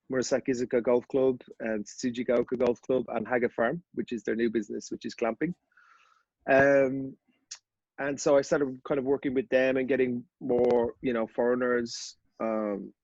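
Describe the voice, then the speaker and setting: A man from Dublin with a clear Dublin Irish accent chats easily as a podcast guest, wandering through his story with fillers and restarts. His voice stays level, with little rise and fall, and it sounds dry and a little dull, as if recorded close with the sound dulled. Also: medium pitch, darkish tone, no room sound. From Ireland. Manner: rambling, easygoing, with many fillers and restarts. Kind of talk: casual interview chat.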